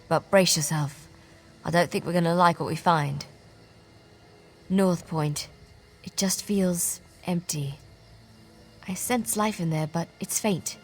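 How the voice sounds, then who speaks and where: A woman voicing a dry, sarcastic seasoned warrior companion in a fantasy video game, soft music behind. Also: lowish voice. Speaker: game character.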